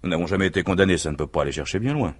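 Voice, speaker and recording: An actor playing a self-assured, scheming husband in a vintage French radio mystery, speaking in persuasive, emphatic speeches with a husky voice. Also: male voice, low voice, expressive, racing. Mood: eager, boastful.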